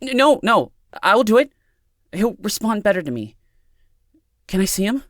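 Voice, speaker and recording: Guy doing a nervous timid young woman for an audiobook, high swoopy voice, trembly n pleading. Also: animated, slow, dry recording, clean studio sound. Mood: panicked, pleading.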